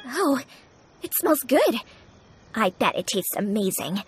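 An actress playing a bubbly, friendly young restaurant owner in a video game, upbeat and enthusiastic. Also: female voice, on the high side, very animated, unhurried, husky, heavily acted. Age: young adult. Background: game music.

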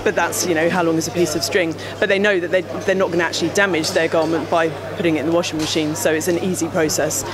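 A woman in her thirties with a lowish voice explains gear at a trade show stand, speaking into a handheld mic. She talks with frequent ums and restarts. A steady crowd murmur fills the hall behind her. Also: fast talker, hardly any pauses. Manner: explanatory, many fillers and restarts. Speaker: company representative. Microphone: handheld mic held by interviewer.

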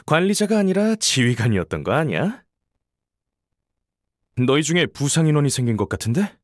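A woman's voice: deep voice, sing-song, normal speed, no echo, clean studio sound. A woman playing a cocky young military announcer in a game, informal and blunt, with teasing banter. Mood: casual, teasing. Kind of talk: scripted game voice acting, battle commentary.

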